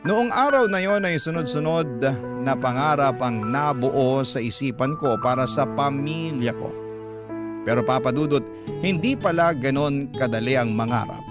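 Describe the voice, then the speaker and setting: Casting: male Filipino radio storyteller, mid-pitched, earnest scripted narration, loud music bed underneath. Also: animated, normal speed. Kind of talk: scripted emotional first-person narration. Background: prominent background music.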